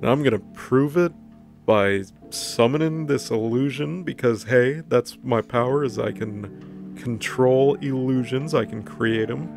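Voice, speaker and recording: A man's voice: mid-range voice, a little slow, creaky, darkish tone. A man voicing an obsessive, lovesick illusionist who thinks himself a hero, melodramatic and self-justifying, in a comic recap. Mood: obsessive, boastful. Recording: music under the voice.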